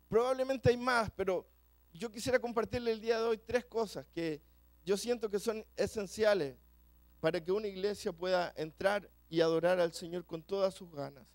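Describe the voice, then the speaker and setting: Chilean male preacher, rapid and restarting, fairly high voice. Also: darkish tone, dry recording. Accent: Chilean Spanish. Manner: fast-talking, repeats words when rushing. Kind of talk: sermon. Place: church.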